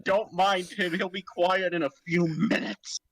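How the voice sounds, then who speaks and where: A man's voice: sits high, little pitch movement, unhurried, a bit bright, no echo. A man joking and swearing casually while reading a part in a group script read. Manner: joking, profane.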